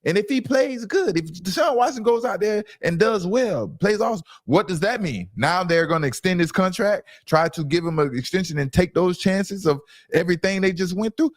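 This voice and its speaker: A man's voice: high-pitched, expressive, moderate pace, hardly any pauses, no room sound, clean studio sound. A man hosting a sports reaction show, giving opinionated commentary in an emphatic, insistent way and repeating phrases for stress.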